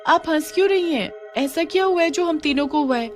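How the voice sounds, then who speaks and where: A woman's voice: high-pitched, a little fast, soft background music, voice pushed up, lightly acted. A woman voicing an indignant, distressed young village wife in a cartoon horror story, with dramatic, complaining delivery. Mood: puzzled. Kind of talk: acted cartoon dialogue.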